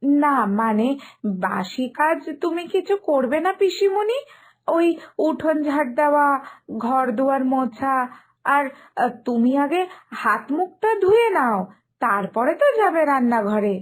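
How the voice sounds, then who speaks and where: A woman's voice: high-pitched, lively intonation, measured pace. A woman voicing a polite, curious young daughter-in-law in a cartoon family story, hesitant and easily shocked. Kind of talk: animated Bengali family story dialogue.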